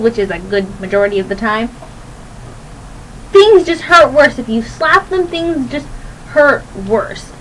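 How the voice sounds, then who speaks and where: A young woman hosting a radio show, rambling casually to listeners in a chatty, playful, animated and giggly way. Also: high-pitched, a little hiss or hum, rough recording. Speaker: radio host.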